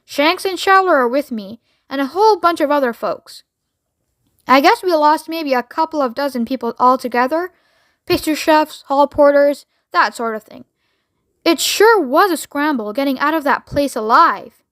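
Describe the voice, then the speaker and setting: A woman's voice: sits high, lively intonation, normal speed, a bit bright, no echo, clean studio sound. A woman doing a folksy male astronaut. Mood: shaken.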